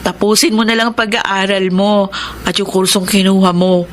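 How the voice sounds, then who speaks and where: A woman's voice: mid-range voice, steady tone, normal speed, a little hiss or hum, lightly acted. An actress playing a grateful, caring young working mother and elder sister in a Filipino radio drama. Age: young adult.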